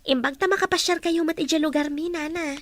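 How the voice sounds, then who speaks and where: A woman with an Ilocano Filipino accent playing a gentle, respectful young daughter in an audio drama. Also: high voice, fast talker, natural voice. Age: young adult. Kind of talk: radio-drama dialogue.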